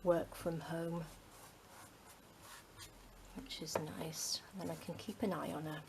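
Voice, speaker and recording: A middle-aged woman from the UK, chatting casually to her craft channel, rambling with many ums and dropping to a soft near-whisper as she works. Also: low voice, flat delivery, slow, gravelly, very noisy. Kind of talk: casual chat while crafting.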